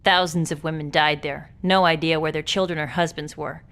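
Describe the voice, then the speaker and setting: A woman's voice: low-pitched, steady tone, a little fast, a bit bright. An actress playing a dry, well-read female support agent in a game cutscene.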